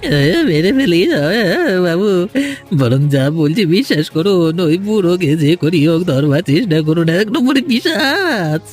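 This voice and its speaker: Man acting a whining young villager, swooping pitch. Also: sing-song, measured pace, a little mellow, soft background music. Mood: frightened pleading. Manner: whining, hesitant, repeating himself. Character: pleading, evasive young village lover.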